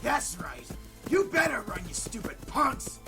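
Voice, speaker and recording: A woman voicing a brash, combative young fighter in a visual novel game, taunting and loudly defending territory. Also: on the high side, sing-song, unhurried. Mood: aggressive, taunting. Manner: taunting, shouting. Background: game music.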